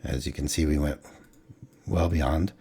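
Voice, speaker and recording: Man, very deep and breathy, going hoarse; rambling desk-mic trade recap. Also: steady tone, measured pace, mellow. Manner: rambling, many fillers and restarts. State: losing his voice, clearing throat. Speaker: futures trader reviewing his trades. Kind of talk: casual screen-recorded trade review.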